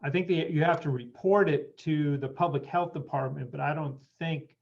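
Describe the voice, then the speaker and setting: A man with a somewhat high voice and noticeable vocal fry moderates a group call. He is informal, and the sound is dull and lo-fi. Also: normal speed. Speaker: host moderating a conference call. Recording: dull, lo-fi conference call audio.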